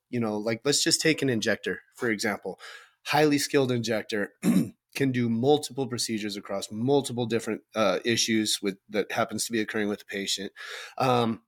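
A man with a loud voice co-hosting a podcast, talking in an emphatic, blunt way and swearing for effect. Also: mid-range voice. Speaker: podcast co-host. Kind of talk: casual podcast discussion.

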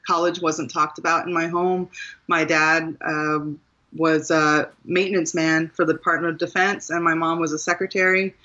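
A woman's voice: sits low, little pitch movement, moderate pace, pushed and bright, dull audio. A woman from Maryland, a guest author, talking thoughtfully and earnestly about her path to writing in an interview, with small laughs and restarts. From Maryland, USA. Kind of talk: reflective interview conversation.

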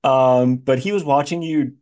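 A man's voice: mid-range voice, unhurried, a little mellow. Casual, self-deprecating male podcast host. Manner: informal, self-deprecating, rambles toward his questions. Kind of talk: casual interview chat.

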